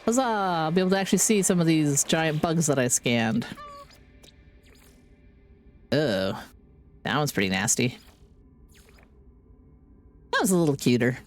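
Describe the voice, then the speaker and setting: A woman live-streaming a game with casual, playful commentary, chattering to herself, her voice deepened by being sick. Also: animated, measured pace, long pauses, faint music behind.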